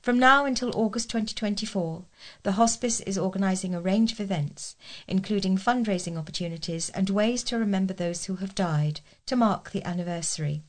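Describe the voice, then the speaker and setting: A woman's voice: mid-range voice, a little fast. A woman reading scripted local news as a volunteer newsreader.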